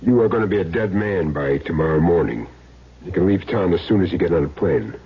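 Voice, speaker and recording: A man's voice: deep voice, lively intonation, fairly quick, breathy edge, heavily acted. An actor playing a cool, terse, matter-of-fact hitman in a 1970s radio drama. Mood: cool, firm. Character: Jim Derry, cool laconic hitman back in town. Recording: dull lo-fi old radio recording.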